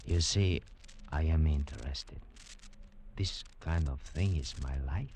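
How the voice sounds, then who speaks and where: An actor playing a courteous, sharp old Peruvian scholar in a 1940s radio drama. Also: male voice, a bass voice, heavily acted. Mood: intrigued, sly. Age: older. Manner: courteous, savouring, deliberate phrasing. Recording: old radio recording, faint noise.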